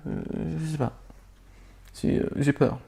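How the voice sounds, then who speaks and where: Brief: a man playing a terrified, stuttering man, high squeaky voice, babbling and unsure. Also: fairly even intonation, unhurried, vocal fry, mellow, husky, heavily acted. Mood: panicked. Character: panicked stammering frightened man.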